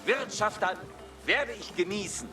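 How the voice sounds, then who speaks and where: An actor playing a hearty medieval knight or villager in a game, speaking in an eager, declamatory way. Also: male voice, sits high, moderate pace, over loud music, close to an everyday voice. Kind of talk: game unit voice lines.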